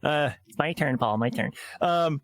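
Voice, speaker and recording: A man's voice: on the high side, unhurried, no room sound. A man co-hosting a podcast, chatting casually about a movie, often repeating himself and restarting sentences. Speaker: podcast co-host.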